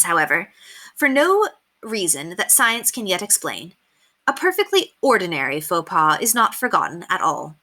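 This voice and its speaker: A woman reading a children's book aloud as an expressive, emphatic storyteller. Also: mid-range voice. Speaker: read-aloud reader.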